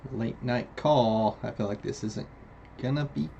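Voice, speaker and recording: A man giving relaxed commentary as he plays a video game, with game sound behind him. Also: medium pitch, measured pace, vocal fry, dull audio, slight background noise. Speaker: video game let's player. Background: video game ambience.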